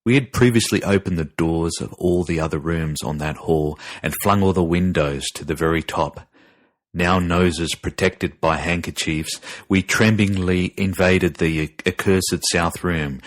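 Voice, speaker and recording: A man narrating a scripted horror story in a grave, deliberate and ominous manner. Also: low voice, dry recording. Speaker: narrator and podcast host.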